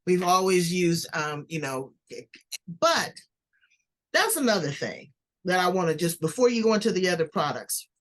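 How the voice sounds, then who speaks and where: A woman's voice: fairly low pitch, big pitch swings, normal speed. A woman podcast host talking casually and frankly, repeating words for emphasis. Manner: frank, chatty, emphatic with repetition. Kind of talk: casual conversational interview.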